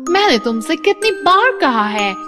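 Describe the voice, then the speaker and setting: A woman's voice: sits high, sing-song, measured pace, pitched above the performer's own voice. A woman voicing a young hostel girl in a cartoon. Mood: dismissive. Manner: bold and dismissive, turning to frantic pleading. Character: young woman hostel resident, skeptical then terrified. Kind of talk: cartoon voice acting. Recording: reverberant, with music behind.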